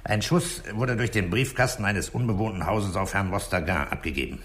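A man playing a calm, authoritative police inspector, terse and deliberate, in a 1960s German radio drama. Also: lowish voice, lightly acted. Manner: terse, commanding, unhurried, deliberate.

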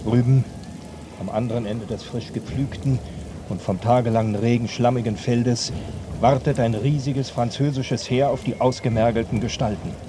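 Man acting as war reporter live from battlefield, formal, mid pitch, rain noise behind. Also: steady tone, normal speed, lightly acted. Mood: serious, reporting. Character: field reporter on a medieval battlefield. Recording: clear rain and outdoor noise.

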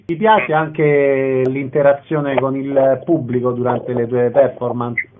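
A man's voice: mid-range voice, flat delivery, slow pace. A man interviewing a guest in a conversational radio style. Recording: thin phone-like sound, heavy noise, clicks.

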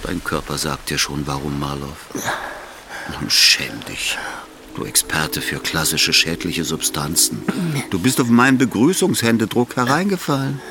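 An actor playing a smug, menacing Soviet party boss in a German radio drama, with a mocking, condescending and unhurried delivery. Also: male voice, extremely low pitch, animated, noisy background, full character voice. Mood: coolly menacing.

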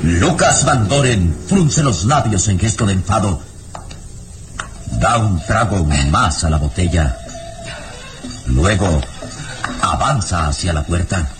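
Low-voiced dramatic radio narrator. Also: male voice, animated, slow pace, pauses often. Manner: ominous, theatrical. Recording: radio drama with music bed.

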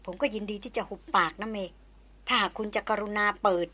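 A woman's voice: medium pitch, brisk, bright, forceful tone, breathy edge. A woman voicing a persistent former army officer who speaks frankly and teases in a storybook reading. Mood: teasing. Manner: teasing, insistent, frank.

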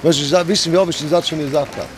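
A man's voice: fairly high pitch, fairly even intonation, racing. A man working as a market vendor, chatting casually and colloquially outdoors. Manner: colloquial, earthy wording. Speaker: market egg seller. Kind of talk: casual chat between customers. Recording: outdoor street noise, traffic behind.

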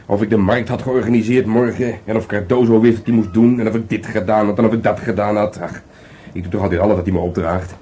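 An actor playing a grumbling but playful police detective in a radio play, speaking informally with a Netherlands Dutch accent. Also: male voice, lowish voice, steady tone, fast, warm tone, husky. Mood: grumbling, sulky. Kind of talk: naturalistic radio play dialogue. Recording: faintly noisy radio play recording.

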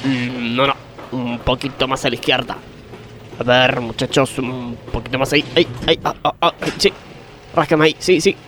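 An actor playing a cheeky male factory worker in a radio comedy, deadpan, with an Argentine accent. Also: mid-range voice, moderate pace, background noise, natural voice. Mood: directing, relieved. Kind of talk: comedic radio theatre acting.

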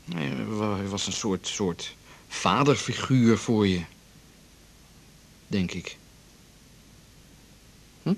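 A practical husband in a radio drama, with a low, husky voice. He is probing and a touch sardonic, halting when unsure of his words. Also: exaggerated voice. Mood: guarded, probing.

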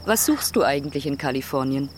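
A woman's voice: a bass voice, animated, fairly quick, faint music behind. A woman playing a frank stranger in a radio drama, asking direct, probing questions. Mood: calm, probing.

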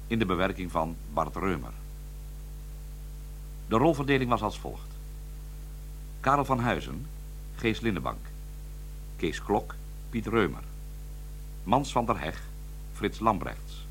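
A man's voice: lowish voice, fairly bright tone, a little hiss or hum, poor audio. A man announcing the closing credits of a radio drama in a formal way.

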